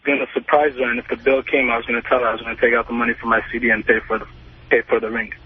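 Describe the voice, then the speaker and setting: A man's voice: mid-range voice, flat delivery. Hesitant young man on a tinny phone. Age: early 20s. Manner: hesitant, halting. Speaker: radio show caller. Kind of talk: casual phone confession. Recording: tinny, noisy phone line.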